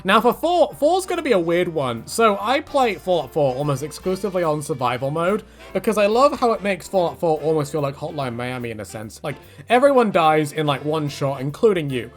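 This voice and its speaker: A man's voice: on the high side, very animated, brisk, hardly any pauses, faint music behind. A man casually answering viewer questions in a rambling way, with many fillers and restarts.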